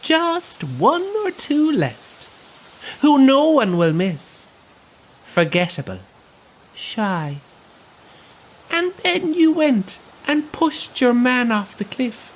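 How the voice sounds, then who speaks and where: An actor with an Irish accent playing a possessed coach tour guide, sinister, theatrical and taunting, in an audio drama. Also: male voice, very high-pitched, huge pitch swings, takes it slowly, pauses often, full character voice, rough recording. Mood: mocking.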